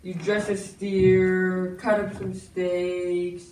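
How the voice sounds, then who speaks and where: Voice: mid-range voice, flat delivery, drawn-out words. A young performer playing a scheming, falsely genial swindler in an amateur stage melodrama, with theatrical, emphatic delivery. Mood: gleefully menacing. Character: smooth-talking scheming villain swindler, fake government man in top hat. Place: school stage, far camera in audience. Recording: echoey hall from far off, noisy.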